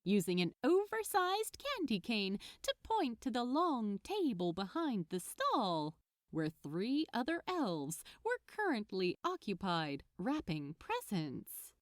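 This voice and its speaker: Expressive, slow-paced woman storyteller. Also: a little high, no echo, clean studio sound. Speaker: fan fiction narrator. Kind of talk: scripted story narration, read aloud.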